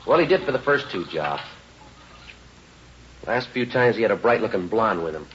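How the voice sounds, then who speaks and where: An actor playing a terse, deadpan police detective sergeant in an understated 1950s radio crime drama. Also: male voice, on the low side, normal speed. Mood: weary, flat. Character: clipped, matter-of-fact male police detective sergeant. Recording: dull, noisy old radio recording.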